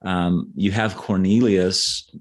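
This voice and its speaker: A man speaking as an author guest in a conversational interview, explaining in a halting way and often repeating words. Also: low voice, fairly even intonation. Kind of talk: conversational interview, explaining history and his novels.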